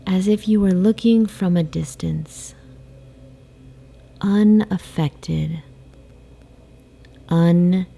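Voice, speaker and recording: A woman guiding a sleep meditation in a soft, soothing, hypnotic way, with breathy phrase endings and long pauses. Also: lowish voice. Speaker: meditation guide. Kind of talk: guided sleep meditation, slow hypnotic narration. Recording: faint background noise, ocean waves. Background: ocean wave sounds.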